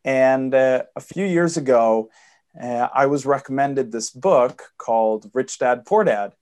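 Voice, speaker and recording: A man's voice: mid-range voice, measured pace, darkish tone. A man from Sweden with a Swedish-American accent, a guest on a podcast interview, explaining at length and fluently with frequent fillers. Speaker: guest investor.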